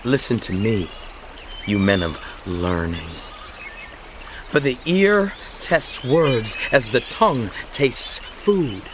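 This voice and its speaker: An actor playing an earnest, righteous biblical orator in a dramatized audio Bible, speaking emphatically with deliberate, rhetorical pauses. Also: male voice, medium pitch, animated, noisy background. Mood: preaching, insistent. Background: music.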